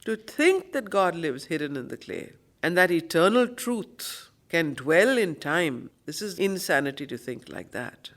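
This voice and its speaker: A woman teaches a spiritual study group. She reads verse with deliberate emphasis, then repeats phrases and explains them to her listeners in plainer words. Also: fairly low pitch, expressive. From India. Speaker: study circle facilitator and teacher. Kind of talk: spiritual poetry reading with explanatory commentary.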